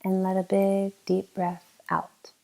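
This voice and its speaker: A woman leading a guided meditation as a teacher, giving gentle, unhurried instructions with long pauses. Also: medium pitch, completely flat delivery, vocal fry, poor audio.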